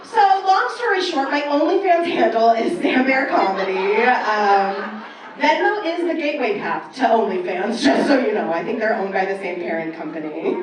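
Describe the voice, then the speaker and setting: Echoey, high-voiced woman doing brash stand-up. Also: normal speed, background noise. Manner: animated storytelling, acting out quoted exchanges; brash, casual, profane. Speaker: stand-up comedian. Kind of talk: stand-up comedy set. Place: live comedy club stage. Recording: roomy echoing club sound, distant.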